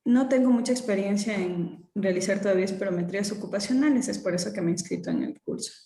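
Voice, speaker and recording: A woman with a dark, mellow voice introduces herself hesitantly as an occupational physician. Her voice comes from an echoey room over a video call. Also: medium pitch, fast. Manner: hesitant, opens with fillers. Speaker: occupational physician, course participant.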